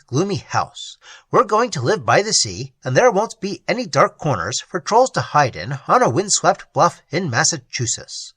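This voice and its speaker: A woman voicing a nervous grown man in a lowered, muttering voice. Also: fairly bright tone, no room sound, heavily acted. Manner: gruff, muttering.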